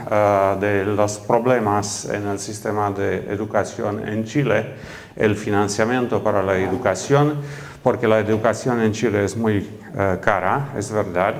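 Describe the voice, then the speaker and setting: Romanian accent guy doing a conference keynote, lowish voice, lots of "eh" pauses. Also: steady tone. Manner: frequent hesitant fillers. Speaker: keynote speaker. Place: conference hall.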